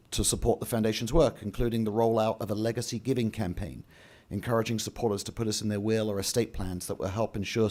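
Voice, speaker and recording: A man's voice: on the low side, dark tone. A man delivers a prepared speech from a podium at a banquet, reading steadily and adding the odd offhand aside. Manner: reads a script with occasional asides and restarts. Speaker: event speaker and emcee. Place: large banquet hall.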